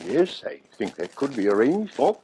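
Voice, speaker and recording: A male actor plays an obliging railway boss in a 1940s radio play. His pitch moves freely and his manner is brisk and businesslike. Faint noise from the old recording sits behind him. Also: sing-song, mellow, close to an everyday voice. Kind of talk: 1940s radio drama acting.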